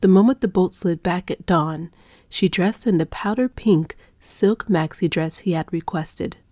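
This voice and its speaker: A woman narrating a scripted dark romance audiobook in a measured, hushed, dramatic manner. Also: lowish voice, dry recording, studio quality.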